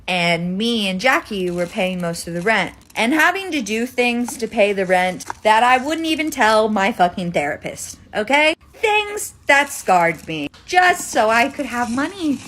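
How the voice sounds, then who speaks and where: A young woman telling a confessional storytime in a casual, colloquial, animated manner, acting out bits of dialogue. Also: on the low side, slight background noise. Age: young adult. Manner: animated, colloquial, swearing, acts out quoted dialogue.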